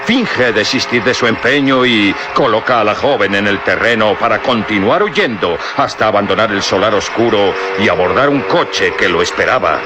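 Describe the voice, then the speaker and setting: A man narrating and announcing a vintage radio drama serial in a theatrical, booming style. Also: expressive, normal speed, hardly any pauses. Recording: old radio recording with music bed.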